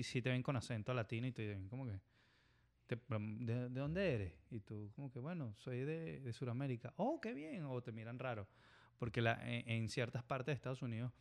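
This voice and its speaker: Venezuelan guy, casual podcast storyteller, acts out the voices he quotes. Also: medium pitch. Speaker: airline pilot guest.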